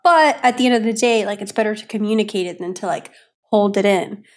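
A woman's voice: mid-range voice, a little fast. A woman co-hosting a podcast, chatting in an upbeat way with many fillers. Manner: chatty, upbeat, lots of "like" and "you know". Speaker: co-host. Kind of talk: casual podcast chat.

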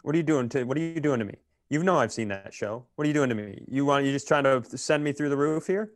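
Man, mid-pitched, close dry recording, comedic ranting, exasperated, profane, podcast host. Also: fast talker, no echo. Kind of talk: casual comedic rant.

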